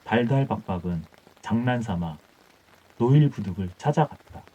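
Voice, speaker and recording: A man narrates an old bedtime folktale in a very dark, mellow voice. His tone is husky and soft-edged. The recording is a little dull, with music behind him. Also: on the low side, lively intonation, normal speed. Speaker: audiobook storyteller. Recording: dull muffled audio with music.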